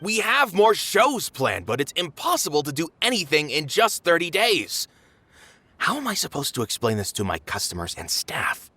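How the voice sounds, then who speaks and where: An actor playing a protective middle-aged theater manager in a game, firm and reassuring. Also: male voice, a little high, huge pitch swings, moderate pace, bright, forceful tone, breathy edge, no echo, heavily acted. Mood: protesting.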